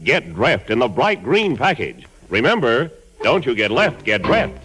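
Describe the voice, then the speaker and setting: A man's voice: medium pitch, sing-song, a little slow, a bit bright. A man delivering a scripted 1940s radio advertisement. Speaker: commercial announcer. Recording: vintage 1940s radio broadcast. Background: music.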